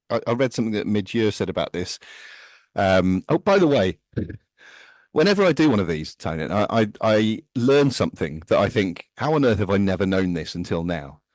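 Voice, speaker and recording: A chatty man with a deep, low voice shares anecdotes as a podcast co-host. He trips over words now and then, and the recording is dry and close. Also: very animated, brisk, a little mellow, dry recording. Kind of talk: casual music chat.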